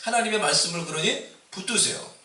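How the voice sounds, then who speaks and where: Bright-voiced pastor preaching in an echoey hall. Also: male voice, a little fast. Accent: Seoul Korean with a mix of Busan dialect. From Seoul, Korea. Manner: rises loud and high on exhortations, asks the congregation questions. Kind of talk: Easter sermon. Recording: echoey hall, distant mic.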